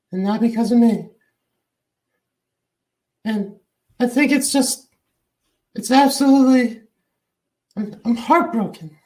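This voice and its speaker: A man playing a jilted princess wife, wounded and melodramatic, stumbling over her words as she pleads and then snaps. The delivery is slow and drawn out, at a middling pitch for the part. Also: mellow, poor audio. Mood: heartbroken. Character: scorned Saudi princess wife, dramatic. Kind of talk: comedy sketch.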